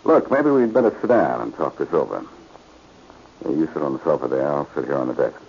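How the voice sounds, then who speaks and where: Bossy clever detective type, deep husky voice, forties radio drama, dull old recording. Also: male voice, lively intonation, fairly quick, a little mellow, slight background noise. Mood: wary, commanding. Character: shrewd, commanding male amateur sleuth. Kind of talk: 1940s radio acting.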